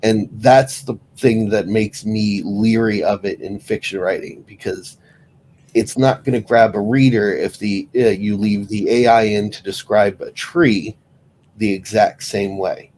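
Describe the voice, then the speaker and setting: Guy with a lowish voice on a podcast, casual opinions, some faint noise behind him. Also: steady tone, a little slow, a little mellow, low-quality recording. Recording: clear background noise.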